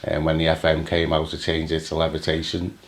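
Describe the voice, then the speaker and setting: A man reminiscing casually and a little haltingly in an interview. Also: very deep voice, completely flat delivery, heavy background noise. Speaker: former CB radio user.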